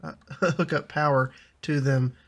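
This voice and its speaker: A man in his thirties with a slightly raspy voice from a lingering cough, chatting casually over a building game and laughing often. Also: mid-range voice, fairly even intonation, unhurried, fairly bright tone. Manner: chatty, laughs often, frequent ums and restarts. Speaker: gaming YouTuber. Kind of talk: casual gameplay commentary.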